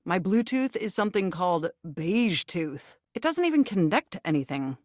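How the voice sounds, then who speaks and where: Dating game character: an anxious, earnest desktop computer, precise and wordy, very animated delivery, dry close sound. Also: female voice, fairly low pitch, normal speed, no room sound. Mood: tactful, aggrieved. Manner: formal, wordy, precise, nerdy diction. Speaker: video game character voice actor. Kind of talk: scripted dating game dialogue.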